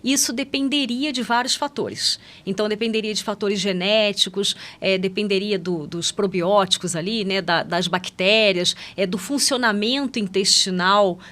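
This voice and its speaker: A woman's voice: medium pitch, moderate pace, few pauses, a bit bright. A Brazilian woman speaking as a medical podcast guest, explaining things conversationally and often checking in with little agreement tags. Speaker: guest doctor, pediatric endocrinologist.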